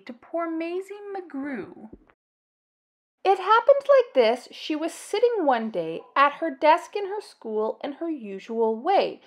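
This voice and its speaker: A middle-aged woman reading a children's story aloud as host, with deliberate, dramatic emphasis. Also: high voice. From Canada. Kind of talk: slow, expressive read-aloud narration for children.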